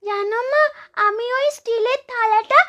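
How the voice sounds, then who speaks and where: A woman's voice: very high voice, a little slow, fairly bright tone, voice pushed up. A woman voicing an innocent, earnest little child talking to a parent in a family skit. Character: innocent, matter-of-fact little child talking back to mother. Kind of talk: solo voice-modulated Bengali family skit.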